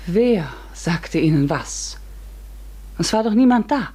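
Radio play: courteous, questioning female visitor, slightly low register, sceptical but uneasy. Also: expressive, slow, mellow, breathy edge, full character voice. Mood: bewildered. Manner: polite, questioning. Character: curious, polite unmarried woman visitor, sceptical but unsettled. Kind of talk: German radio drama acting.

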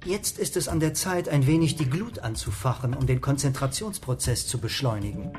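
A man's voice: mid-range voice, fairly quick, a little mellow, natural voice. A man playing a self-assured visionary leader, echoey room. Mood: calm, decisive. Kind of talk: radio drama acting. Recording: echoey room, music behind.

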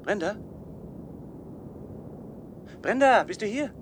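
A man's voice: high voice, lively intonation, slow, slight background noise. An actor playing a troubled, hesitant man on an expedition in a 1970s German radio play. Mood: searching, tender. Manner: hesitant, earnest.

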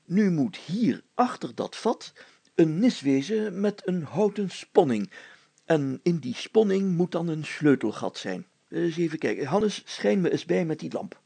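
A man voicing a pompous, fussy, excitable town mayor in a story, exclaiming and stammering when flustered. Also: on the high side, a little slow, gravelly, husky, dry recording, full character voice. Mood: excited, approving.

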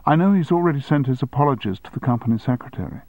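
A man's voice: medium pitch, lively intonation, very fast, dry recording, lo-fi sound, pitched above the performer's own voice, natural voice. A man voicing a cheerful, polite young female secretary.

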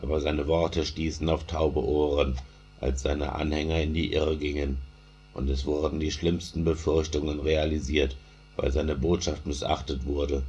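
A man's voice: extremely low pitch, level tone, normal speed, a little mellow, breathy edge. A man reciting a mourning lament in a chanting delivery, returning again and again to a repeated refrain.

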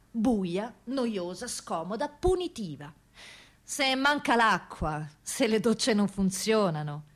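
A woman narrating a scripted radio theatre piece in a deliberate, emphatic way, with weighted pauses. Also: medium pitch.

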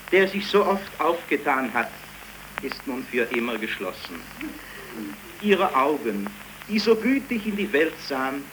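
Slow, solemn graveside clergyman, crackly old recording. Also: mid-range voice. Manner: solemn, measured, declamatory. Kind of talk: 1930s radio play acting, funeral oration. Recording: noisy crackly 1931 radio recording.

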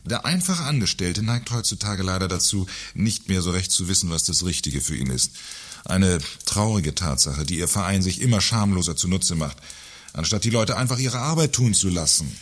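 Man playing a low, husky, sarcastic company boss. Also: lively intonation, moderate pace. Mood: grumbling, scornful. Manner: sarcastic, pompous, grumbling. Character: gruff, sarcastic, authoritarian male company director. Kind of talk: satirical German radio play acting.

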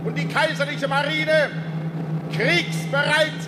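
An actor playing a stern official who declaims an imperial decree in a radio play. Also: male voice, very high voice, steady tone, slow pace. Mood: solemn. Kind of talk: formal proclamation in a radio play. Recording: noisy reverberant street, vehicle noise.

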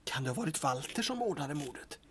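A man playing a naive, eager young sidekick who dreams of riches in an audio drama, with an excitable manner. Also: a little high, a little hiss or hum. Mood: curious. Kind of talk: serial audio drama acting.

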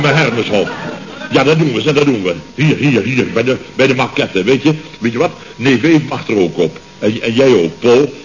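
An actor playing a blustery, exasperated middle-aged company boss in a radio comedy serial, repeating himself and stammering when flustered. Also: male voice, fairly low pitch, natural voice. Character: blustering, exasperated male boss of a building firm. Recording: muffled, noisy old radio recording.

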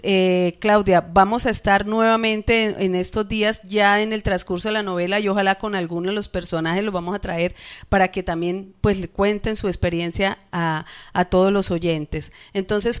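Female Colombian radio host, mid-range pitch, conversational interviewing style. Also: fairly even intonation, moderate pace, smooth.

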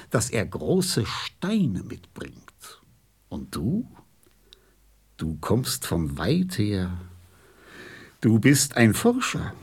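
An actor playing a pompous, pedantic, self-important old geographer in a radio play. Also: male voice, medium pitch, breathy edge, full character voice. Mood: officious.

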